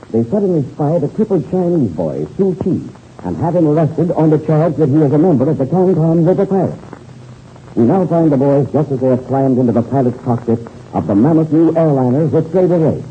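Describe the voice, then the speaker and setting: A man's voice: fairly high pitch, expressive, brisk. A man narrating a 1930s radio adventure serial as announcer in a dramatic, declamatory style. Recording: scratchy, tinny old-time radio recording, noisy.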